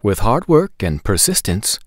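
A man reads a picture book aloud for children. His pitch rises and falls dramatically in a sing-song way, and he takes his time with each phrase. The sound is close and dry, from a lapel mic. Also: no room sound. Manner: deliberate, animated, sing-song delivery. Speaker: children's storytime host. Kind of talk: expressive read-aloud narration for children. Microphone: lapel microphone.

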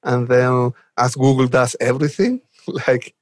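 A man with a Chilean Spanish accent talks casually as an interview guest. His voice sits at a medium pitch and his manner is enthusiastic. The sound is dry, with little room to it. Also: little pitch movement, a little slow, no echo. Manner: enthusiastic, chuckles while talking. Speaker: guest, software developer advocate. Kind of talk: casual podcast interview chat.

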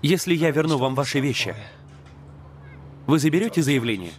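A man's voice: on the high side, lively intonation, normal speed, a little hiss or hum, lightly acted. A man voicing a cheeky, defensive working-class young man with slangy speech in a Russian TV drama dub. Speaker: dubbing actor.

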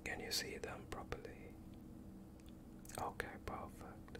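A young man playing a gentle vampire doctor in a hushed, soothing ASMR roleplay, whispering reassurance in short phrases close to the microphone. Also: slow, close to an everyday voice. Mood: soothing, attentive. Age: young adult. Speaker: ASMR streamer.

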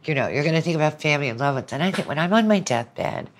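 Older woman with a very low voice. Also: lively intonation, quick pace. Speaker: podcast guest, university lecturer. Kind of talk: reflective conversational interview talk.

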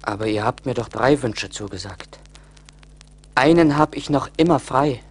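A man's voice: mid-range voice, moderate pace, natural voice. An actor playing a troubled man full of regret in a radio play, brooding and earnest. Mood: pleading. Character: troubled, pleading man regretting his lost heart. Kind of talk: 1980s German radio play acting. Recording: faint hiss of old vinyl record.

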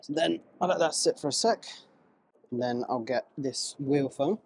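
A man in a casual vlog, talking through his car wheel cleaning outdoors in a relaxed, rambling way, with small self-corrections, his voice moving closer and farther from the camera. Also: mid-range voice, moderate pace, gravelly. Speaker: car rental host. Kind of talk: casual vlog narration while working.

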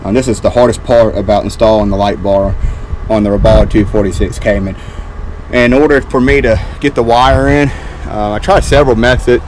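A man's voice: on the low side, brisk, a little hiss or hum, rough recording. Easygoing man explaining a boat job. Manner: relaxed, explaining step by step with fillers. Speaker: boat DIY vlogger. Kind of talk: casual how-to walkthrough. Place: outdoors on a boat in a backyard.

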